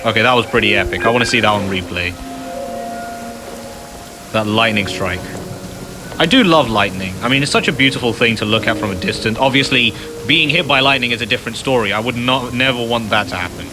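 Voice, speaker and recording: A man's voice: medium pitch, fairly quick, noisy background. A man casually commenting while playing a video game, rambling and chatty with hesitant restarts. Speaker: gameplay commentator. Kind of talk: casual let's-play commentary. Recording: game music behind.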